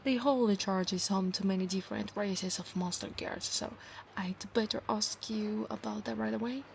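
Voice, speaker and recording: A woman with an Italian accent voicing an affectionate, possessive centaur knight in a soft ASMR roleplay, often slipping into breathy whispers. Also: medium pitch, normal speed, a little hiss or hum, lightly acted. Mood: chatty, teasing. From Italy.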